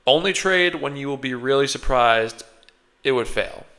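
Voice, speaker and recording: A man talks close into his microphone while fighting off a slight cold, sniffling between thoughts. His voice sits at an ordinary middle pitch. He rambles and corrects himself as he reflects, and he is quite hard on himself. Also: normal speed, sharp tone. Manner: rambling, thinking aloud, self-critical. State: a little sick, sniffling. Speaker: day trader reflecting on his own trading. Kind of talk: casual self-reflective monologue.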